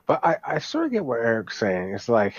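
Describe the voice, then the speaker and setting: Man, mid pitch, dry but dull sound. Casual panelist who interjects and repeats himself. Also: wildly swooping pitch, a little fast, breathy edge, no room sound. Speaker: podcast panelist. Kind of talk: casual chat. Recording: dull lo-fi audio.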